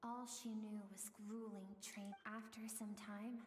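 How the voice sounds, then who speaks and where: A woman playing a gentle, wistful game storyteller, narrating a tragic past in a soft, measured, breathy and solemn manner. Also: medium pitch, flat delivery, slight background noise, natural voice. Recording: long echoing reverb, music behind.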